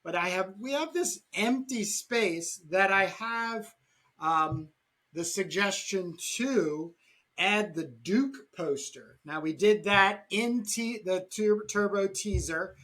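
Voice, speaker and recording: A man hosting a podcast about comics in casual talk, pausing mid-sentence as he goes. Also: sits high, lively intonation, fairly bright tone. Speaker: podcast host and comic artist.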